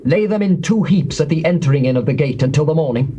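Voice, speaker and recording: Give the voice of a ruthless, zealous warrior king played by a male actor in a scripture drama. He is British, pitched a little high, and declaims with solemn, imperious authority. The old tape is clearly noisy. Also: expressive, quick pace, warm tone, muffled recording, close to an everyday voice. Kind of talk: dramatised scripture, classical stage acting.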